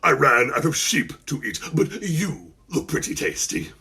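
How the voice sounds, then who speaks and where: A man voicing a gigantic, hungry dragon in a storybook, with a deep, slow, menacing delivery. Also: big pitch swings, gravelly, pushed and bright, slightly rough, exaggerated voice.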